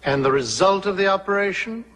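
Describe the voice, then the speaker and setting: Stern older law professor in a movie, formal, raspy but sharp, big echoey hall. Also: male voice, high-pitched, animated. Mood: stern, measured. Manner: formal, deliberate lecturing with pointed questions. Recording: hall reverb, film soundtrack.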